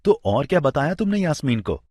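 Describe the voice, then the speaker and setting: Husky, animated, curious husband. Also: male voice, a little high, brisk, no echo, clean studio sound. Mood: agreeable, thoughtful. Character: good-humoured, curious, agreeable Indian father and husband. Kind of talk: scripted educational audio drama dialogue.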